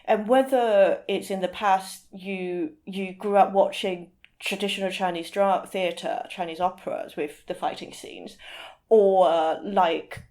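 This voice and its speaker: A woman's voice: mid-range voice, steady tone, unhurried, a little mellow. Female interview guest, mid-range voice, conversational, hesitant phrasing with frequent self-corrections. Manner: hesitant, searching for words, often rephrasing. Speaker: podcast guest, literary translator. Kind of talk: casual interview discussion.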